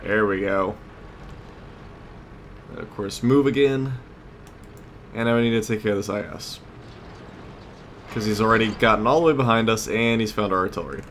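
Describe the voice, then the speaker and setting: Male game commentator, fairly low voice, conversational and unscripted, recorded with clear background noise. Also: moderate pace, long pauses, a bit bright. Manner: conversational, thinking aloud. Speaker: let's play host. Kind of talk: casual gameplay commentary.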